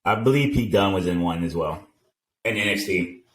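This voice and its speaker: A man's voice: low-pitched, animated, normal speed, a bit bright, rough recording. A man co-hosting a wrestling podcast, talking casually and emphatically as he hypes up his co-host.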